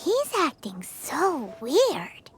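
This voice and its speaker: A woman voicing a tiny, cheeky, childlike fairy companion in a video game, squeaky and exaggerated. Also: wildly swooping pitch, extremely slow, music in the background, heavily acted. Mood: wary. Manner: exaggerated, blunt, chirpy. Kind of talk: video game character acting.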